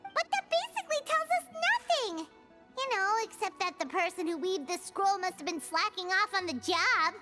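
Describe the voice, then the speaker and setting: A tiny, excitable companion from a video game, with an extremely high voice that swings up and down. The delivery is whiny and exclamatory, with clear music underneath. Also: female voice, fairly quick, full character voice. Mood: indignant.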